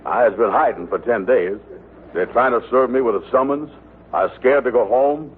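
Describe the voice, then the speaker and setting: An actor playing a pompous, hapless big-talker in an old radio sitcom, blustering in folksy broken grammar and malapropisms. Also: male voice, medium pitch, normal speed, lightly acted. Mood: scared. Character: scheming, hapless, pompous big-talking fiancé, a grown man. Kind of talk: 1940s-50s radio sitcom acting. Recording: noisy, tinny vintage radio broadcast.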